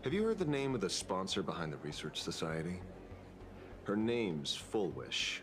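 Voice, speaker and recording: A man's voice: sits low, big pitch swings, normal speed, noisy background. An actor playing a self-assured male private detective in a video game, theatrical, musing and playful. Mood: playful, confident. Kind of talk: dramatic video game dialogue.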